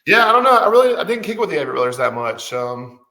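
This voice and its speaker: A man's voice: a little high, big pitch swings, quick pace, bright, forceful tone, reverberant. A man in his forties, a guest musician, talking casually and hesitantly with many fillers and restarts. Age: 40s. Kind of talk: casual conversation.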